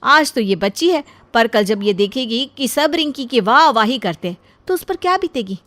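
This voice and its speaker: A high-voiced, bitter young housewife played by a woman from an animated family tale. She is sharp-tongued and sarcastic, scolding like a mother at the end of her patience. The sound is dry. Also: normal speed, no echo. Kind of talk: Hindi animated moral story acting.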